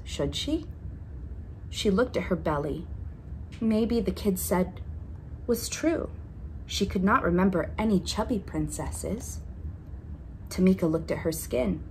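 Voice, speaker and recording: A woman's voice: medium pitch, a little mellow, rough recording. A woman reading a children's picture book aloud expressively, drawing out key words for emphasis. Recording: noticeable background noise.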